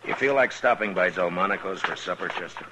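An actor playing a stern, laconic western town marshal in a 1950s radio drama, speaking and narrating in a terse, understated way. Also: male voice, sits low, a little fast, heavy background noise, natural voice. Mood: low-key. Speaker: lead actor and first-person narrator. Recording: vintage 1950s radio recording, music bed.

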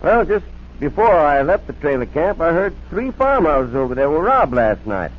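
An actor playing a folksy, obliging rural basket peddler in a 1940s radio drama. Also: male voice, fairly high pitch, sing-song, moderate pace. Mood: sober, folksy. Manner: folksy country talk. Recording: dull, hissy 1940s radio broadcast.

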